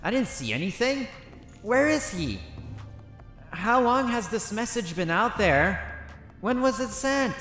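Guy roleplaying a game character, slow-talking but jumpy, bright voice swinging up and down. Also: high-pitched, soft background music. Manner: excitable, thinks aloud to himself.

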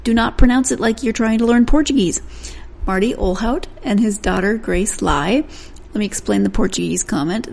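Chatty woman podcast host with a gravelly edge. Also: mid-range voice, a little mellow. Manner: chatty, self-correcting, laughs at own slips. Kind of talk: solo intro to a book interview.

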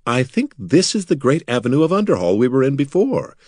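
A man voicing an eager, naive young nobleman in a fantasy audiobook, with an excitable, breathless manner. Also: mid-range voice, brisk, no room sound, clean studio sound, pitched above the performer's own voice. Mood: eager, excited.

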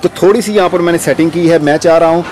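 Soft-toned man narrating a casual garden vlog. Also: on the high side, fast. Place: outdoors on rooftop terrace garden in rain. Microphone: handheld camera microphone. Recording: outdoor ambient noise, light urban background.

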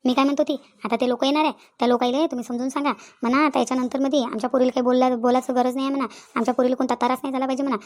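A woman as a high, fast, nagging village wife. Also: little pitch movement, darkish tone, natural voice. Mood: insistent. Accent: rural Maharashtrian, Vidarbha. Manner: scolding, complaining, repeats her phrases. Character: nagging, quarrelsome village wife and mother, aggrieved. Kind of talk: Marathi comedy cartoon dialogue.

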